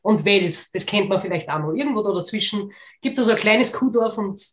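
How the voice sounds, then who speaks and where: A woman with an Austrian German accent, a motivational coach who talks in a lively, energetic way in a casual interview. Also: medium pitch, brisk. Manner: lively, energetic, laughs easily. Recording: roomy echoey recording.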